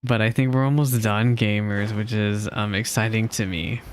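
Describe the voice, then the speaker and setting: A woman's voice: extremely low pitch, steady tone, moderate pace, background noise. A woman giving casual reaction commentary as she streams a video game. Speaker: gaming streamer.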